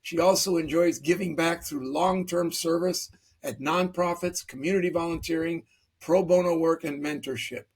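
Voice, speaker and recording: A male podcast host reads a long guest introduction in a measured, formal way. His voice sits high for a man and has a husky, slightly breathy grain. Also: fairly even intonation.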